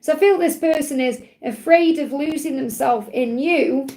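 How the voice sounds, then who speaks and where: A woman hosting a live spiritual card reading, her voice croaky from overwork, reassuring and repeating her key phrases. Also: sits high, moderate pace, noisy background. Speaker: spiritual card reader, livestream host.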